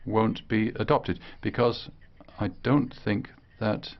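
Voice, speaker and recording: Low-voiced male interpreter, halting phrases. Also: fairly even intonation, creaky, muffled recording. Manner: halting, broken into short phrases. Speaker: simultaneous interpreter. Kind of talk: live interpretation of a speech.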